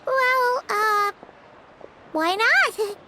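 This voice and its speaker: A woman's voice: very high voice, drawn-out words, bright, forceful tone, heavily acted. A woman voicing a tiny, chatty, childlike fairy companion in a video game, with a perky manner. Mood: eager, puzzled. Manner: speaks of herself in the third person, perky.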